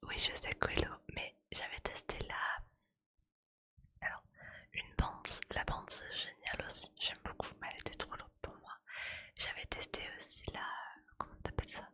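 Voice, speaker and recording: A woman's voice: unhurried, sharp tone. A woman whispering breathily in a casual, playful ASMR chat, with slangy, colloquial speech as she streams to her viewers.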